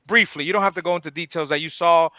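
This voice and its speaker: A man hosting a sports talk show speaks fast in a high, bright, forceful voice. He keeps repeating words to get the floor before he asks his question. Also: dry recording. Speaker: show host.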